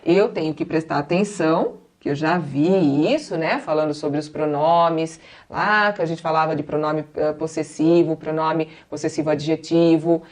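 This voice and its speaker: A Brazilian woman in her forties with a Brazilian Portuguese accent, an English teacher patiently explaining and slowly repeating each example. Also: deep voice. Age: 40s. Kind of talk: classroom-style explanation.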